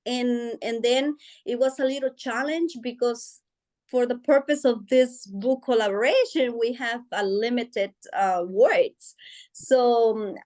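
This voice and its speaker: A woman's voice: on the high side, measured pace, a little mellow. A woman whose first language is Spanish, talking as an author guest in a casual interview, hesitant with many fillers and restarts, often laughing as she speaks. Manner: emotional, laughs while speaking.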